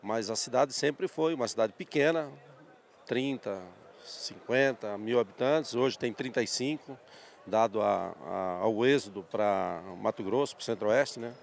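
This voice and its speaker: A man in his sixties from southern Brazil with a Paraná accent, a company manager telling his life story in a measured, thoughtful way during an outdoor interview at a farm show. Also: mid-range voice, creaky, slight background noise. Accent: Paraná Brazilian Portuguese. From Santa Catarina, Brazil. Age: 60s. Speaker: guest, agricultural machinery dealer manager. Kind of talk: interview conversation.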